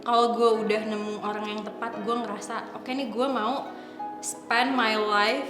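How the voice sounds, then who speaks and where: A woman's voice: fairly high pitch, little pitch movement, a little slow. A woman in her twenties with an Indonesian accent, talking casually in a group discussion and mixing in English phrases. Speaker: panelist. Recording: roomy sound with music underneath. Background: background music.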